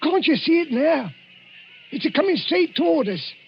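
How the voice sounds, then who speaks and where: An actor playing a nervous, grumbling grave robber in an old radio drama. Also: male voice, extremely high pitch, big pitch swings, normal speed, vocal fry, exaggerated voice. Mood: frightened. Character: jittery, grumbling male grave robber, easily scared. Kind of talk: 1940s radio drama acting. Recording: muffled, hissy old radio recording.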